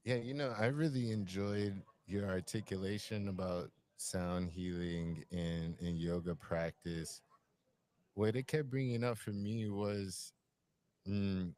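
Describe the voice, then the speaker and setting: A man with a deep voice, musing at length in a reflective conversation. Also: darkish tone. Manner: musing, searching, repeats phrases.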